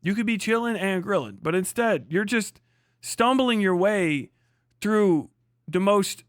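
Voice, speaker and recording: A man's voice: sits high, animated, a little slow, no echo, studio quality. A man livestreaming casual reaction commentary, ranting off the cuff in a profane, mocking, rambling way. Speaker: livestream host.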